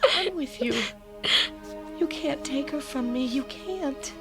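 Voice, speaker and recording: A woman's voice: high-pitched, animated, takes it slowly, exaggerated voice. An actress playing a sheriff's wife, a fiercely protective foster mother, in a radio drama. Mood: desperate, protective. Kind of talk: vintage-style radio drama acting. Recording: music under the dialogue.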